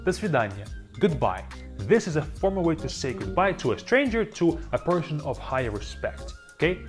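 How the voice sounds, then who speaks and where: A dubbed voice teaching beginner vocabulary in a slow, scripted lesson style, repeating each word for learners. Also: mid-range voice, very animated. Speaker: language teacher. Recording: auto-dubbed voice with faint music.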